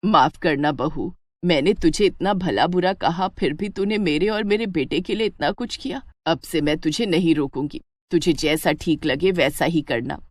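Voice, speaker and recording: A woman's voice: low voice, normal speed, hardly any pauses, no echo, studio quality. A woman playing a bitter, taunting middle-aged village mother-in-law in a theatrical moral story. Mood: remorseful. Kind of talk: dramatic Hindi moral-story voice acting.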